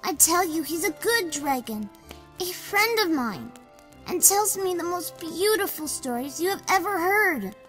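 A woman's voice: very high voice, animated, unhurried, a bit bright, exaggerated voice. A woman playing an earnest, outspoken young village boy in an audiobook drama, with chatty, old-fashioned phrasing. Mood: exasperated, fond. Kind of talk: amateur audiobook drama acting. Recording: long hall reverb, faint music.

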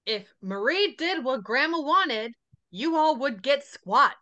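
A brash, commanding sister, read by a woman at a table read who bosses everyone bluntly. The voice is fairly high and very bright. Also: animated, takes it slowly, heavily acted. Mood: bossy, impatient. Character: brash, bossy, foul-mouthed grown sister.

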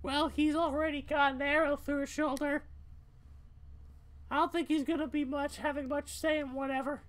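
An anxious, weary young adventurer played by a woman in a fan-voiced game. The voice is very high, with a blunt, hesitant delivery. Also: level tone, normal speed, lightly acted. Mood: wary, confused. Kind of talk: amateur game character voice-over.

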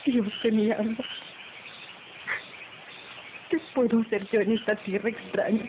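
A woman's voice: fairly high pitch, little pitch movement, fairly quick, close to an everyday voice. An actress playing a humble, earnest woman in an old radio drama, with a plaintive manner. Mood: forlorn, pleading. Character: humble, pleading, deferential young woman. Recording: thin, phone-like, noisy old radio recording.

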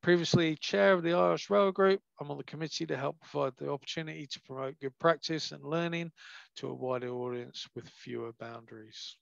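A man's voice: fairly high pitch, brisk, creaky, a bit bright, no room sound. A man chairing an online branch meeting over a video call, reading out introductions of the speakers. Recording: dull, dry video call sound.

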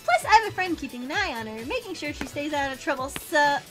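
Obsessive, short-tempered cartoon hedgehog girl, played by a woman: very high, swooping, brash and demanding. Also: sing-song, quick pace, over music. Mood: scolding. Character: obsessive, bossy young pink female hedgehog, short-tempered. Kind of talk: comedic cartoon fandub.

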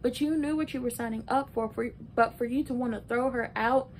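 A woman in her early twenties giving casual, opinionated and emphatic recap commentary, talking conversationally like a video blogger. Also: fairly quick. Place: small bedroom, indoors. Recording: noisy room with low rumble.

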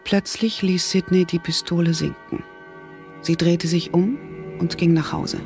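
A woman's voice: fairly low pitch, steady tone, measured pace, gravelly, over music. A woman narrating an audio thriller from a script.

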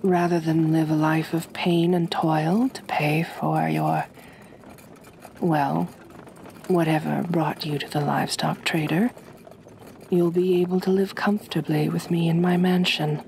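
A woman playing a kind, possessive aristocratic vampire mistress in an ASMR roleplay, speaking gently in a measured, genteel, soothing way. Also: low-pitched, level tone, vocal fry, slight background noise. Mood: calm, coaxing.

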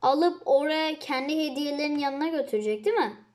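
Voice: sits high, brisk. A child chatting casually as a co-player during a video game. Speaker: gamer, co-player. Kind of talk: casual chat during gameplay.

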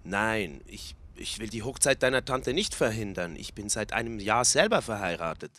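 A man's voice: lowish voice, lively intonation, moderate pace, sharp tone. A man acting an aunt's hesitant, questioning ex-husband in an amateur radio play. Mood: defensive, hesitant. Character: aunt's remarried ex-husband, taken aback by accusations.

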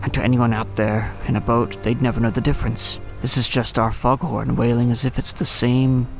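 A male actor plays an older lighthouse keeper, lonely and brooding. His mid-pitched voice crackles with gravel as he muses poetically, like a wistful storyteller. It is an audio drama, with clear music behind him. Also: level tone, fairly quick, lightly acted. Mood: melancholy. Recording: music under the voice.

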